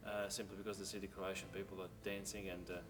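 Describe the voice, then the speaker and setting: A man and parent speaking in a relaxed, rambling way about the festival. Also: sits low, quick pace. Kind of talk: casual interview. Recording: distant from mic, music behind.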